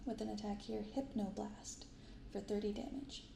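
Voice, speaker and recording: A soft-spoken woman hosting an unhurried ASMR-style unboxing, recorded in an untreated apartment room. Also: medium pitch, flat delivery. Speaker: host of a card unboxing channel. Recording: roomy untreated apartment, clear background noise.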